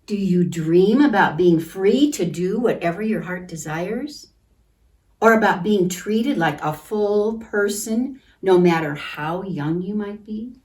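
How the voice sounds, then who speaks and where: A woman reading a picture book aloud to children in a gentle, deliberate manner, pausing to comment and ask questions. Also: medium pitch, lively intonation. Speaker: children's storytime reader at a church. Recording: distant microphone.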